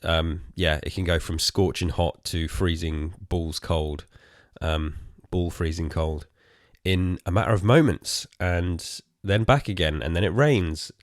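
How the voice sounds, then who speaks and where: Casting: weary English podcaster, very low voice, hoarse, rambling and self-mocking, dry close sound. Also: male voice, measured pace, dry recording. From England. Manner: rambling, self-deprecating, swears casually. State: tired and hoarse. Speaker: podcast host. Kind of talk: casual solo podcast chat.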